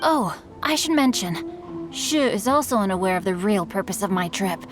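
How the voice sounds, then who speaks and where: A woman's voice: medium pitch, animated, normal speed, over music, natural voice. An actress playing a polite, gracious young noblewoman in an anime-style video game, with a courteous, formal manner. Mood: gracious, confiding.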